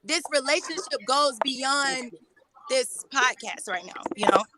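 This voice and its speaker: A woman's voice: high-pitched, measured pace, background noise. A young woman from Atlanta, earnest and gushing, thanking and chatting as a guest on a live stream. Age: young adult. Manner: earnest and gushing, laughs easily. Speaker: guest artist. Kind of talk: casual live-stream chat.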